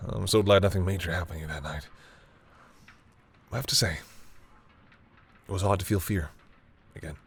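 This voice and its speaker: A man playing a flustered, tender and grudgingly fond vampire boyfriend in an intimate roleplay audio, hesitant and teasing, with a husky voice. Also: sits low, full character voice. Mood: vulnerable, tender. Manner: hesitant, easily embarrassed, teasing.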